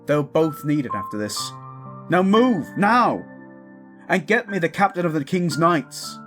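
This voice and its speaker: A man's voice: on the high side, very animated, unhurried, fairly bright tone, faint music behind. A man playing a protective exiled prince standing in as king, firm, formal and weary, in a fantasy audio drama. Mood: urgent, commanding. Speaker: voice actor.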